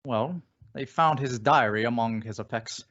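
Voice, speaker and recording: A man's voice: medium pitch, moderate pace, fairly bright tone, highs cut off, close to an everyday voice. A man playing a resolute crime-fighting avenger in old-time radio drama style, with a dramatic, authoritative delivery. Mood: explanatory. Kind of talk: 1940s radio drama acting.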